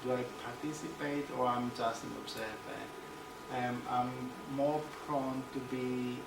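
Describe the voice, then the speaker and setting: A man from Greece with a Greek accent giving an academic seminar talk, hesitant with many pauses and restarts. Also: medium pitch, fairly even intonation, vocal fry. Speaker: seminar speaker. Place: seminar room. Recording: echoey room, distant mic, loud background noise.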